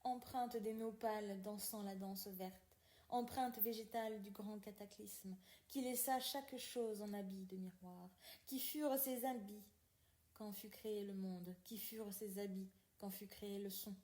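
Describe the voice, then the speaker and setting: Woman, poetry recitation, dark soft-edged timbre, deliberate declamatory phrasing, strong room echo. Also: mid-range voice, fairly even intonation. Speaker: podcast reader. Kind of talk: literary poetry reading. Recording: roomy, echoing sound.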